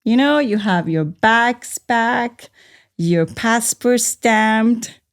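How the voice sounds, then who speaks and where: Slow-talking woman podcast host. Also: on the high side. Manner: upbeat, laughs often, loose filler-heavy phrasing. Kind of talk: hosting a round-table interview.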